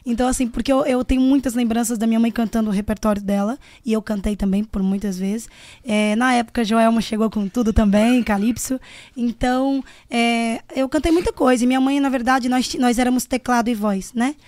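Fast-talking Brazilian singer, dry sound. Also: female voice, a little high, dry recording. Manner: animated storyteller, quotes herself. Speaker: singer, podcast guest. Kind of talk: casual bar-table chat.

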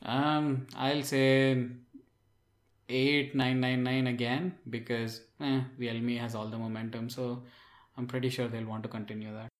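A man's voice: mid-range voice, flat delivery, unhurried. A man, recorded with a roomy echo. He chats casually about tech as a podcast co-host. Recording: roomy, echoey sound.

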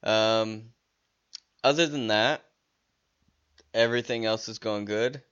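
A man's voice: lowish voice, takes it slowly, fairly bright tone, smooth, lo-fi sound. A man hosting a casual solo podcast, rambling with many restarts and fillers while he is losing his voice. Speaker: podcast host.

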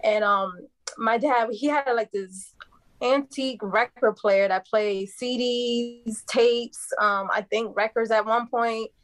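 A chatty woman born in the Dominican Republic, whose first language is Spanish. Her voice sits a little high, and she laughs easily as she tells family stories in a relaxed conversation. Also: slow pace. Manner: chatty, laughs often while telling stories. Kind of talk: casual family chat.

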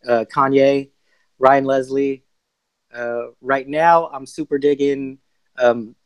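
A man, a music producer, talking as a podcast guest in relaxed casual answers, with many ums. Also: medium pitch, clean tone, no room sound, poor audio.

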